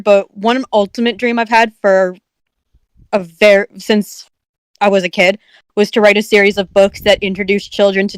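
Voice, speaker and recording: A chatty woman talks earnestly on a casual stream, full of little restarts and fillers. Her voice is smooth and clear, recorded dry and close with no room sound. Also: medium pitch, no echo. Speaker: co-host.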